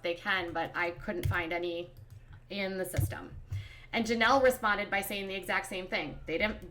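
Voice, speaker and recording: A woman's voice: medium pitch, pushed and bright, slight background noise. A woman from Minnesota with a Minnesotan accent, hosting a casual commentary stream, talking in an exasperated, emphatic way and calling her viewers "you guys.". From Minnesota, USA. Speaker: commentary channel host.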